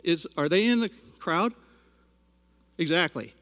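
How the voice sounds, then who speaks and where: A man's voice: fairly high pitch, animated, a little slow, pushed and bright. A man speaking as a parent during public comment at a school board meeting, confrontational and addressing board members directly. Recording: reverberant hall.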